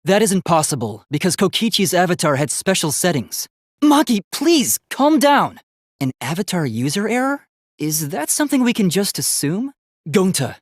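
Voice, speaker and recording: A young male robot student from a video game, earnest and logical. His delivery is formal and precise, as if every statement is being reasoned through, yet the pitch moves with lively variation. The sound is dry, with no room around it. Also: husky, dry recording, exaggerated voice. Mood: alarmed, then earnest, serious. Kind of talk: English video game voice acting.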